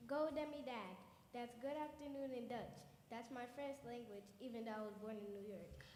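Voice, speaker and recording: A woman's voice: fairly high pitch, normal speed, a little mellow, close to an everyday voice. A young woman in a church skit playing a determined formerly enslaved abolitionist woman with an earnest, testifying delivery. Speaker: youth performer in a church skit. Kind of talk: stage acting in a church program. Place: church hall. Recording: echoey hall with long reverb, faint noise.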